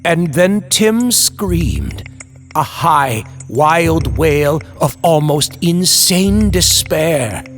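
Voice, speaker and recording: A man narrating a scripted horror tale like an old-time radio host, theatrical, ominous and drawn-out. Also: on the high side, animated. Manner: ominous, drawn-out, dramatic delivery. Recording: music under the voice.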